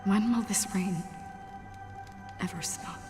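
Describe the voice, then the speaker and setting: An actress playing a melancholy wandering swordswoman in a video game, speaking in a solemn, wistful, hushed manner. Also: mid-range voice, takes it slowly, close to an everyday voice. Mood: weary. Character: melancholy, soft-spoken wandering swordswoman haunted by loss. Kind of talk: dramatic video game dialogue. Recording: long reverb with music behind.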